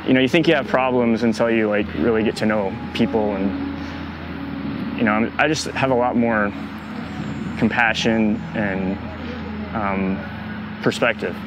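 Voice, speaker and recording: A man reflecting in an interview, speaking hesitantly with many pauses and restarts. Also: lowish voice, steady tone, background noise.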